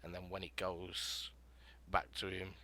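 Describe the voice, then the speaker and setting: A man hosting a writing podcast panel, explaining prompts and telling scenes out loud in a casual, rambling way. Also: low voice, completely flat delivery, unhurried. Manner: explanatory, storytelling, filler-heavy.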